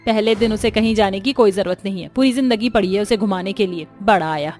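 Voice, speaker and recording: A woman voicing a scolding, scornful middle-aged mother-in-law, bossy and sharp-tongued, in a family story. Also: medium pitch, quick pace, faint music behind, natural voice.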